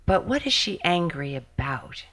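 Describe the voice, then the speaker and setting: A woman biographer giving a talk and answering questions, thoughtful and halting, with many pauses. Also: on the low side, big pitch swings, fairly bright tone. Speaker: biographer and speaker.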